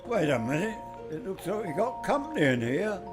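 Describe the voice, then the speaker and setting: An actor playing a wisecracking secret agent in an amateur radio drama, wry and unflustered. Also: male voice, high voice, sing-song, moderate pace, music in the background. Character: wisecracking male secret agent, cool under threat.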